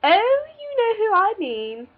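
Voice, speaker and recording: A woman voicing a nosy, gossipy older matron who teases and pries in an excitable way. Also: very high voice, slow pace, phone-quality sound, voice pushed up, heavily acted. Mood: teasing, prying. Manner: prying, teasing, excitable.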